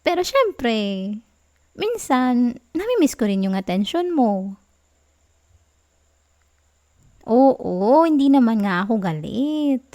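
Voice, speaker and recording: Female actor for young Filipino girlfriend, ASMR roleplay. Slightly high pitch, soft, affectionate, pouty, pleading for attention. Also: very animated, a little slow, no room sound, studio quality. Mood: sulky, pouting. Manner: soft, whiny, affectionate pleading with sighs and giggles. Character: sweet, clingy young girlfriend, gently sulking for attention.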